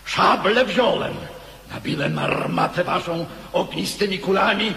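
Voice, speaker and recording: A man's voice: high-pitched, a little slow, creaky, exaggerated voice. An actor playing a proud, fierce warrior king in a declamatory theatrical radio drama. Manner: declamatory, forceful. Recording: echoey hall with long reverb.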